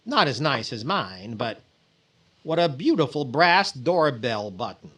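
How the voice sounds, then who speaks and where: A man's voice: mid-range voice, very animated, unhurried, background noise. A man playing a vain, naive, fussy comedian in 1940s radio comedy style, exclaiming and stammering. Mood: cheerfully vain. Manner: exclaiming, hesitant stammers, comic timing. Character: vain, naive, fussy comedian, easily flustered, boasting of possessions.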